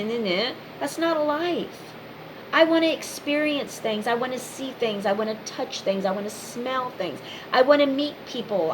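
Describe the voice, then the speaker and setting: A woman's voice: on the high side, a bit bright, slight background noise, rough recording. A woman giving an impassioned, informal monologue straight to the listener, speaking in emphatic, repetitive short phrases.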